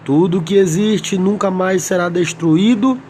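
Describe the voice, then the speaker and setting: A man with a Brazilian Portuguese accent, slightly hoarse, teaching a Bible lesson and reading scripture slowly with many pauses. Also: high voice, darkish tone, a little hiss or hum. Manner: slow, deliberate, pausing often, asks "amém?".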